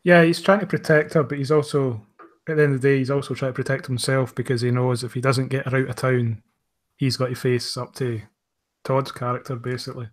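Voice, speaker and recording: A man's voice: mid-range voice, little pitch movement, fairly quick. A man talking as a guest in a casual discussion, explaining his creative work.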